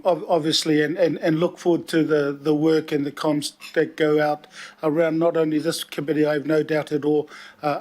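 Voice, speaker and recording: A man with a New Zealand accent chairing a council committee meeting, pausing often with fillers and mixing in Māori phrases. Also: fairly high pitch. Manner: hesitant, many filler pauses; opens with a Māori prayer.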